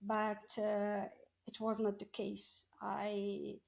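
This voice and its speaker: A middle-aged woman tells her personal story in an interview, often halting and searching for words. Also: medium pitch, monotone, vocal fry. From Morocco. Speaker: podcast guest, coach.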